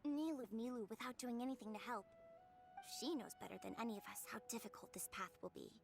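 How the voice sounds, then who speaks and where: A woman's voice: fairly high pitch, quick pace, creaky, over music. An actress voicing a hesitant, apologetic young woman in a game. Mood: rueful. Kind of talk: video game voice acting.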